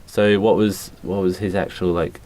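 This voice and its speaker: A man's voice: low-pitched, fairly even intonation, normal speed, a little mellow. A man co-hosting a comedy podcast in casual banter, with some noise behind him. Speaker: podcast co-host.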